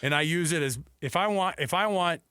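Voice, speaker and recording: A man's voice: on the high side, fairly quick, pushed and bright, clean tone, no echo, clean studio sound. A man hosting sports talk radio, giving opinions emphatically and repeating his points for weight. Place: radio studio.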